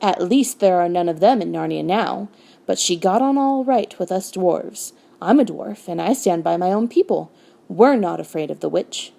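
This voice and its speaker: A woman's voice: lowish voice, lively intonation, normal speed, vocal fry, warm tone. A woman voicing a bitter, defiant male dwarf for a storybook, cold, sneering and argumentative.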